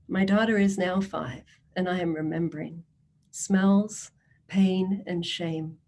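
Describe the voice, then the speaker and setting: A woman addresses a committee in a measured, earnest manner, with a warm, mellow tone. Her voice sits a little low. She reads testimonies aloud in the first person. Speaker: social services presenter.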